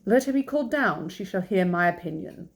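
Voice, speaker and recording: A woman voicing a calm older gentleman father from a classic novel, deadpan, measured and formal. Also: medium pitch, lightly acted. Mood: unconcerned.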